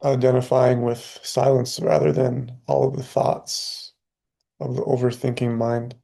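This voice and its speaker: A man leading a guided meditation, speaking calmly in short phrases with long pauses between them. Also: medium pitch, creaky. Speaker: meditation teacher.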